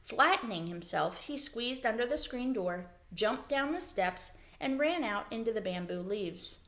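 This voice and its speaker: A woman reading a children's story aloud as a teacher, in expressive narration. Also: on the high side, measured pace.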